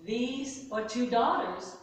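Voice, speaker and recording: Soft-spoken woman storyteller in an echoey hall. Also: takes it slowly. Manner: soft-spoken, animated storytelling with sudden pitch lifts. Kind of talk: oral storytelling to a live audience. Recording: far-off mic in an echoey hall.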